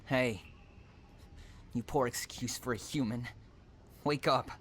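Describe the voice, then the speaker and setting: A man's voice: mid-range voice, steady tone, takes it slowly, fairly bright tone, a little hiss or hum. A man playing an obsessive, unhinged kidnapper in a dramatic roleplay, cooing endearments mixed with threats straight at the listener. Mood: furious, commanding. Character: obsessive, unhinged male stalker kidnapper, possessive and menacing lover.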